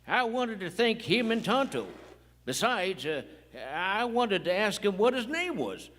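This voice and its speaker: Western radio play: dim, folksy male cowpoke, high and bouncy pitch, hesitant delivery, live hall echo. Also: sing-song, a little slow, a bit bright, full character voice. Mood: sheepish. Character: folksy, slow-witted small-town cowpoke lawman. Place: large performing arts hall, on stage. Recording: echoey hall, live stage performance.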